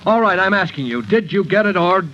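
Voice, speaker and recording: High-voiced, eager young radio fireman. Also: fairly quick. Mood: suspicious, probing. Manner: earnest, deferential, excitable. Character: eager, earnest young male fireman, deferential. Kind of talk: old-time radio drama acting. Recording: muffled, lightly noisy vintage radio recording.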